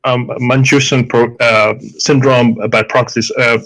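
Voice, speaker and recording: Hesitant Indian-accented man explaining slowly. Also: mid-range voice, little pitch movement, a bit bright, poor audio. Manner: hesitant, many fillers and restarts, explains with examples. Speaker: doctor debating a guest. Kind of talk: live debate.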